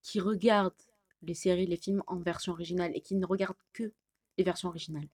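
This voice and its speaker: A young woman chats with her partner, teasing and breaking into laughter. Her voice sits slightly low and sounds close in a dry room. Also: fairly even intonation, a little mellow, dry recording. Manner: teasing, laughs often, quick interjections. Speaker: co-host. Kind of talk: casual couple chat.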